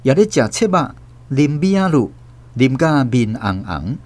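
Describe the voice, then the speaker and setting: A man's voice: mid-range voice, very animated, a little slow, a little mellow. A man with a Taiwanese accent, telling a story as the narrator of a radio drama. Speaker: host and narrator.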